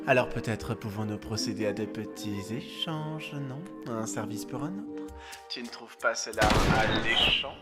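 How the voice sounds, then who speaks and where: A man's voice: on the low side, unhurried, close to an everyday voice. A man voicing a lustful aristocratic demon in a cartoon fan dub, with pompous, seductive, flowery phrasing. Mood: silky, suggestive. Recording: long reverb, music behind.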